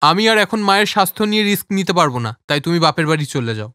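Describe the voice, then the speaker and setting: Casting: impatient young husband for cartoon story, fast, forceful, clipped delivery. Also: male voice, a little high, lively intonation, no room sound, clean studio sound. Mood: fed up. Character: impatient, businesslike young husband, protective of mother. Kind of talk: animated moral-story dialogue.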